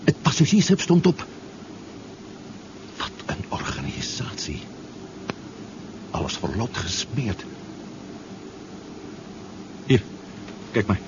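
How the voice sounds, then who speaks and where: Bold, impatient adventurer, muffled recording. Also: male voice, on the low side, huge pitch swings, takes it slowly, pauses often, exaggerated voice. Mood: hushed awe. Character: impatient, bold male adventurer on submarine expedition. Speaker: radio actor. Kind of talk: 1970s Dutch radio drama acting. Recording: muffled, noisy 1970s radio recording.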